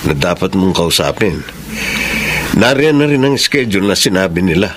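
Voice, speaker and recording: A secretive, lovestruck young Filipino man in a radio drama. His voice is fairly low, with noticeable noisy ambience around it. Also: big pitch swings, normal speed. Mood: helpful.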